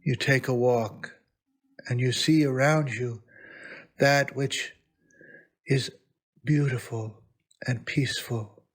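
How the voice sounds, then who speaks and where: A man speaks as a priest leading a guided prayer meditation. His delivery is extremely slow and reverent, broken into short phrases with long pauses. The pitch is ordinary for a man. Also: fairly even intonation.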